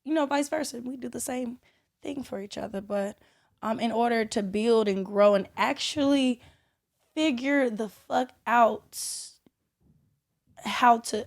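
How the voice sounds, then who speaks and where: A young adult woman, a podcast guest talking in relaxed, chatty speech with fillers and the odd curse. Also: on the high side. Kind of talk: casual chat.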